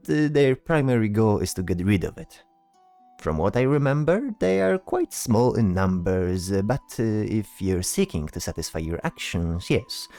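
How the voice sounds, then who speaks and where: A man's voice: on the low side, a little mellow, no room sound. Man acting a chatty, swooping fantasy bard. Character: chatty, polite, hesitant male bard spellcaster. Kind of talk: fantasy audio drama dialogue.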